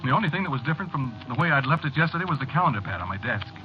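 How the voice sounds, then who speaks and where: Male actor as rattled American man, haunted, halting first-person horror narration, swinging intonation, old muffled radio sound. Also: mid-range voice, big pitch swings, lightly acted. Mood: uneasy, confiding. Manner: halting, repeating himself, confiding. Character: haunted, rattled businessman telling his own eerie story. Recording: muffled, noisy old-time radio recording.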